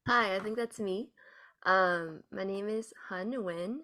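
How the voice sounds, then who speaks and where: A young woman who teaches high school French, introducing herself politely and hesitantly on a video call. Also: takes it slowly, sharp tone. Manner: polite, hesitant, filler-heavy.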